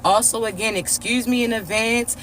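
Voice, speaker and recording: Raspy congested guy doing a spiritual reading, high bright voice, slow and repetitive, keeps checking in with listeners. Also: steady tone, slight background noise, rough recording. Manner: slow, repetitive preaching with frequent "all right?" check-ins. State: congested, stuffy nose. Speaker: spiritual reader and coach. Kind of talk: spiritual reading message to viewers.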